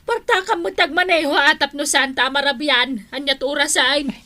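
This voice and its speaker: A woman's voice: high-pitched, normal speed, noisy background, natural voice. A woman playing an exasperated village councilwoman in an Ilocano comedy drama, with a Filipino Ilocano accent. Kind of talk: acted village comedy dialogue.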